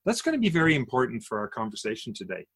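An actor playing a wise, otherworldly male keeper of souls in a radio drama interview, gently teaching like an outsider to humankind, with a husky voice. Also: medium pitch, wildly swooping pitch, very fast, full character voice. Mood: gracious, curious.

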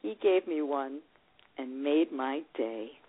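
A woman playing a hopeful, lovelorn divorced woman who recalls a romance in a confiding, expressive memoir reading. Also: extremely low pitch, slow, full character voice. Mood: fond, teasing. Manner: expressive, confiding storytelling with acted dialogue. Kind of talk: dramatized first-person memoir reading. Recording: muffled, narrow phone-like radio sound.

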